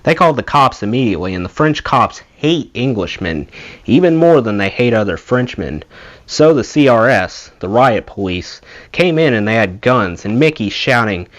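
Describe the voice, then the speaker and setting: A man reading a rock memoir aloud in an expressive storytelling way. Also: mid-range voice, moderate pace. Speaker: audiobook reader, channel host.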